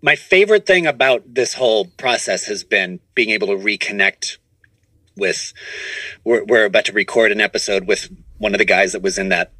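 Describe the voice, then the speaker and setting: A man's voice: on the low side, normal speed, pushed and bright, husky, no room sound, low-quality recording. A man chatting casually as a podcast guest, rambling and often restarting his sentences, recorded in a home studio. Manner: rambling, self-correcting with repeated false starts. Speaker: podcast guest, improv comedian and producer. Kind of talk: casual interview chat.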